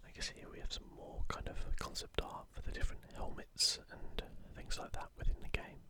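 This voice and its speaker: An ASMR host whispering a commentary in a halting, musing, unscripted way.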